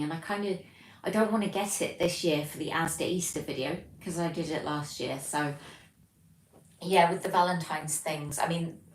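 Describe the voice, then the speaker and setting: A young British woman hosting a livestream from her flat, reading out chat messages and rambling casually with lots of ums. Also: low-pitched. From Britain. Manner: chatty, rambling, many ums. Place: home, flat. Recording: echoey room, distant mic, faint noise.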